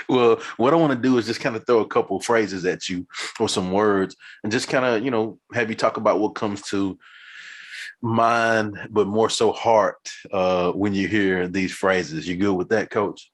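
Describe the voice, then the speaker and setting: Man, host, very deep voice, enthusiastic, affirming, laughs easily. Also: fairly even intonation, a little slow. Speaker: podcast host. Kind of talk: casual interview chat.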